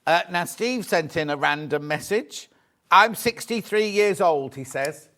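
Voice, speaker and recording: A man's voice: fairly high pitch, animated, a little slow, a bit bright. A man in his sixties co-hosting a live craft show in a studio. He chats casually, teases his co-host and reads out viewer messages. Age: 60s. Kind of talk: casual chat.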